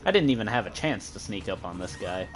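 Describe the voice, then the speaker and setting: Giggly Midwestern American streamer. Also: male voice, fairly low pitch, lively intonation, brisk, music in the background, low-quality recording. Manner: playful, giggly, joking with chat. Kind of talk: casual gameplay commentary.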